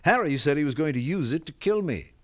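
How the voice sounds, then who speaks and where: A man's voice: a little high, expressive, fairly quick, lightly acted. A man playing a calculating, domineering middle-aged father in a 1970s radio drama, speaking in a controlled, lecturing way. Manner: controlled, lecturing, self-assured.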